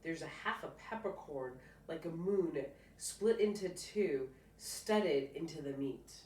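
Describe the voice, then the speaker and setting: A woman voicing a gentle, encouraging father from a children's storybook, in a kindly, plain-spoken way. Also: low-pitched, animated, slow. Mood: reflective. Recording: roomy, distant mic, faint noise.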